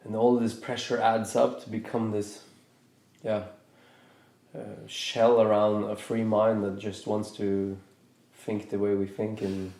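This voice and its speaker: A man from Norway in his thirties, hosting a podcast in a reflective, casual way, pausing and restarting his sentences as he thinks aloud. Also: fairly low pitch, little pitch movement, mellow, echoey room. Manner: thoughtful, hesitant with many restarts. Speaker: podcast host.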